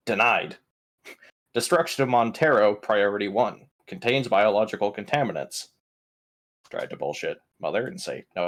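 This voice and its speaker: A man's voice: lowish voice, a bit bright. A man casually recapping tabletop game events as a player, blunt and sardonic, with plenty of swearing.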